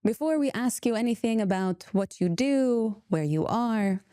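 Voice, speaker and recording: A woman hosting a conversational podcast interview in a polite, gently probing way. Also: on the high side, lively intonation, a little slow, smooth, no room sound, studio quality. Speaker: podcast host.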